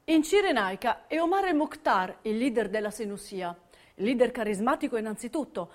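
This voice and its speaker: A woman narrating a scripted theatre piece with emphatic, dramatic storytelling. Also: on the high side. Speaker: stage narrator.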